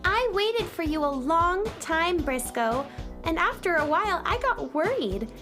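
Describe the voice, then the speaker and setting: A polite, earnest little girl on a space adventure. The voice is extremely high and lively, with music behind it, in the manner of a children's radio play. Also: measured pace, full character voice. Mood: worried. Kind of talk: children's radio drama acting.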